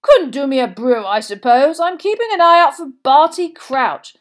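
A woman's voice: high-pitched, sing-song, normal speed, pitched above the performer's own voice. A woman voicing a jovial, boisterous male wizard official with a hearty, carefree, chummy manner in an audiobook. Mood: jolly, eager.

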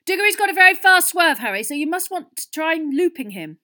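A woman voicing an intense, anxious teenage boy sports captain in an audiobook, speaking urgently and forcefully. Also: medium pitch, moderate pace, lightly acted. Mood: frantic, shouting. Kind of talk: audiobook character voice.

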